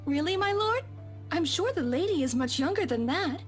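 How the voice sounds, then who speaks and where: A woman's voice: very high-pitched, lively intonation, normal speed, faint music behind. A woman playing a formal, deferential young housemaid, obsessive and cryptic, in a visual novel game. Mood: polite, admiring. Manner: formal, deferential, archaic phrasing.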